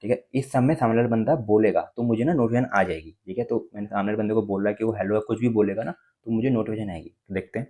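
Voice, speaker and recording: Fast-talking Indian man giving a tutorial. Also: fairly low pitch, dark tone. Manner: explanatory, repeatedly checking in with tag words. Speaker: tech tutorial presenter. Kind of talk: casual step-by-step phone feature tutorial.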